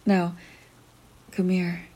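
A woman voicing a dominant, teasing young woman in a whispered ASMR roleplay, speaking in a breathy whisper with a slow, commanding manner. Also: on the low side, completely flat delivery, dark tone, exaggerated voice.

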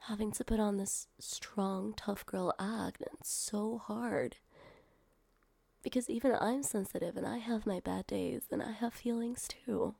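A guarded young woman opens up to her brand new driver in a one-sided audio roleplay, played by a young woman. Her voice is husky and slightly gravelly, with a dark, mellow tone, and she keeps it hushed, close to a whisper. The sound is dry, with no room around it. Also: medium pitch, fairly even intonation, unhurried, dry recording. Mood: frustrated. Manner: soft, hushed, half-whispered delivery.

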